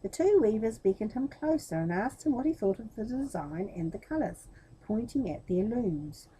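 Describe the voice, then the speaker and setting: A woman's voice: fairly high pitch, animated, moderate pace, warm tone, a little hiss or hum, poor audio. A woman reading a children's storybook expressively, talking directly to young listeners as the storyteller.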